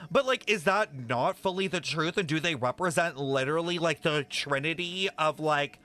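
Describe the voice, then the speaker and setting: Bright-voiced young streamer musing aloud. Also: male voice, on the high side, moderate pace. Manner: thinking out loud, excited speculation, frequent swearing. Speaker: streamer reacting to a game. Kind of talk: casual reaction commentary.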